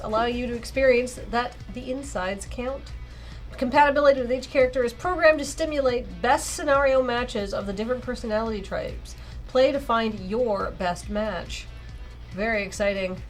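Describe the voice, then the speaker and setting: A woman co-hosting a game stream, chatting casually and reading on-screen text aloud. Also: fairly high pitch. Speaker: streamer and co-host.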